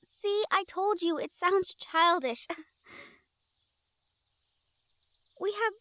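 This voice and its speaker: High-voiced, anxious, tender young woman, acted. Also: fairly bright tone, no echo. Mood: sheepish, hesitant. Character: anxious, tender young human woman from a vampire-hunter family. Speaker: audio roleplay performer. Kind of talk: intimate audio roleplay acting.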